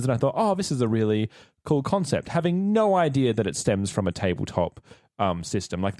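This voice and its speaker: Low-voiced Australian man chatting, dry sound. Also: darkish tone, no echo. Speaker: actor and player on a tabletop role-playing podcast. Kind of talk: casual panel chat.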